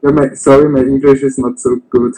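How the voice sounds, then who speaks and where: A man with a voice of middling pitch speaks to a live stream as a caller. He talks slowly, pausing with frequent ums while he searches for the right words. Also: flat delivery, mellow, rough recording. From Austria.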